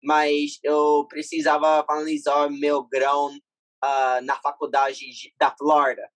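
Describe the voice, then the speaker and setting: Young man speaking learned Portuguese haltingly. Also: fairly high pitch, completely flat delivery, fairly bright tone, no echo. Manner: halting, searching for words in a learned language. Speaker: guest athlete. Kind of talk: casual interview chat.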